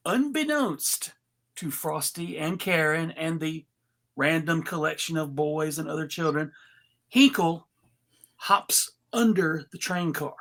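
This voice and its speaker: A person reading stage directions aloud from a script in a measured, wry way. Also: mid-range voice, expressive, fairly bright tone.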